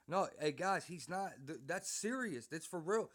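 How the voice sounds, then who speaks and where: A man's voice: sits high, animated, normal speed. Casual, slangy American man, emphatic and rambling. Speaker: host and interviewer, former prisoner. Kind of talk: casual, slangy chat with frequent "bro" and "man".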